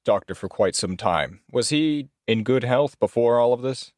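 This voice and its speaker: A man playing a formal, probing 1920s investigator, questioning in a deliberate, articulate way. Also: medium pitch, animated, darkish tone, no echo, clean studio sound, natural voice. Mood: serious, probing.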